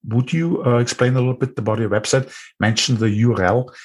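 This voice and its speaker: A man's voice: mid-range voice, steady tone, fairly quick. A man with an Austrian accent hosting an interview, musing aloud and repeating phrases for emphasis. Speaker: host. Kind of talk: reflective interview talk.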